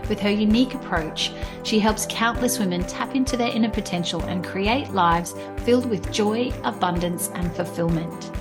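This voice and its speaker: An enthusiastic Australian woman who hosts a podcast, with a mid-range voice. She moves from a polished intro to easy, friendly questions with a few fillers. Music plays clearly underneath her. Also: mid-range voice, moderate pace. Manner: upbeat and enthusiastic, with some filler words in conversation. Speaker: podcast host and life coach. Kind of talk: scripted podcast intro, then conversational interview.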